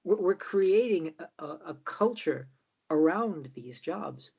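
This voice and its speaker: A man's voice: sits high, lively intonation, slow pace, vocal fry, darkish tone, slightly rough, dull audio. An Irish man in a casual political discussion, rambling and peppering his talk with tag questions. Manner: rambling, with many "like" and "right?" tags. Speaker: political party member.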